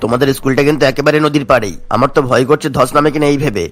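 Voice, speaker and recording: A man's voice: mid-range voice, racing, fairly bright tone, faint music behind, lightly acted. A man voicing a responsible, worried schoolteacher in a cartoon, earnest and urgent. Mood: worried, reassuring.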